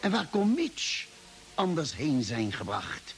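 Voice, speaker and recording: A reflective ship's doctor narrating. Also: male voice, on the high side, huge pitch swings, faint music behind, exaggerated voice. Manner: measured, reflective. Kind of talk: 1950s radio drama narration.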